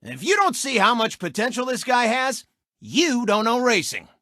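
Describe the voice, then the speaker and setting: A man's voice: extremely high pitch, very animated, moderate pace, pushed and bright, dry recording, studio quality, exaggerated voice. A man voicing a cocky, gloating cartoon race car who hosts a sports show in a video game, brash and theatrical. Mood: showy sportscasting. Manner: brash, smug, theatrical showman. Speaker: racer and TV racing commentator. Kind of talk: animated video game sports commentary and taunts.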